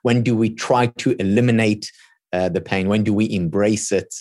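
Low-voiced man explaining earnestly. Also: steady tone, moderate pace, a little mellow, dry recording, studio quality. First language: Hebrew. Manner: earnest, explains with examples, repeats words when thinking. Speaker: guest expert. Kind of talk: thoughtful interview talk.

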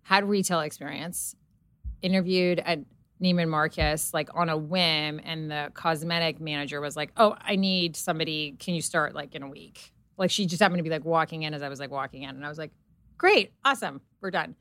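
A woman's voice: low-pitched, brisk, a bit bright. A middle-aged woman, a guest on an interview show, telling her story casually with lots of fillers and quoted inner thoughts. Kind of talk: casual chat.

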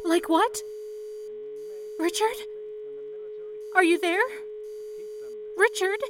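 Woman acting as sweet earnest young switchboard girl, old radio play style, pretty high voice, anxious and caring. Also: drawn-out words, noisy background, heavily acted. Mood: alarmed. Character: earnest, dutiful young telephone operator, anxious but caring.

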